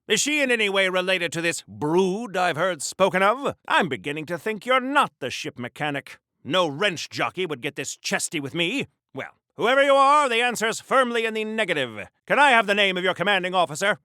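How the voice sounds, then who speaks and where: Corny 1940s superhero game voice, pompous n fussy, kinda high, super dry close sound. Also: male voice, sing-song, a little fast, few pauses, sharp tone, clean tone, no echo, full character voice. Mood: indignant, haughty. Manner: theatrical, formal, fussy, earnest. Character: pompous, oblivious, old-fashioned 1940s Golden Age superhero. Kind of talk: 1940s radio-serial style game voice acting.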